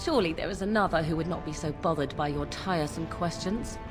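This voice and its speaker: A woman's voice: low-pitched, quick pace, over music, lightly acted. An actress playing a haughty, mocking swamp witch in a video game, with archaic, teasing phrasing. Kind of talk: video game character acting.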